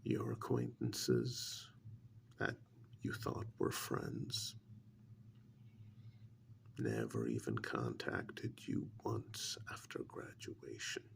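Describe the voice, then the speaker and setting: A man playing a calm, probing government agent in an ASMR role-play, speaking in a soft breathy half-whisper with a measured, condescending manner. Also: rumbling low, a little hiss or hum, exaggerated voice. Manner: measured, condescending, deliberate with long pauses.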